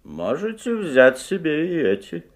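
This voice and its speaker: A man's voice: fairly high pitch, big pitch swings, a little slow. An actor playing an uncanny, teasing magic-shop salesman in a radio play, with a courteous, ingratiating, theatrical delivery. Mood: sly, matter-of-fact.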